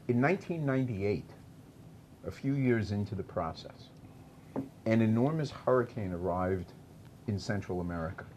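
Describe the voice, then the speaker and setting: Cigar panel regular, male, low-pitched, easygoing storyteller who laughs with the group. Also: slight background noise. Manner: relaxed storyteller, laughs easily. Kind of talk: casual panel chat and storytelling.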